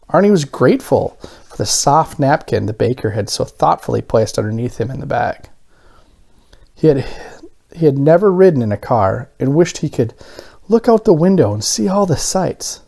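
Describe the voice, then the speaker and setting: Mellow, animated man reading a storybook. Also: medium pitch, gravelly. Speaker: parent reading a picture book aloud. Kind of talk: expressive children's storybook narration.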